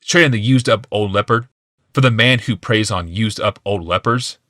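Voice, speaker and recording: A man's voice: fairly low pitch, a little fast, pushed and bright, no room sound, clean studio sound. A man voicing a bitter, paranoid, ailing male genius in an audiobook, growling and hissing with wrath.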